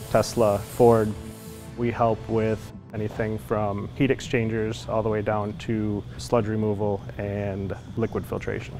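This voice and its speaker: An American man in his forties, a production manager, talking colloquially in a customer testimonial interview. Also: on the low side. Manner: plain-spoken, colloquial. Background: music.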